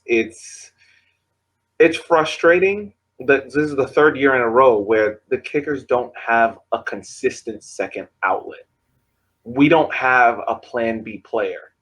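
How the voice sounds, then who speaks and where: A man co-hosting a casual sports podcast, talking in halting bursts, restarting and laughing between points. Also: mid-range voice, a bit bright. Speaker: podcast co-host.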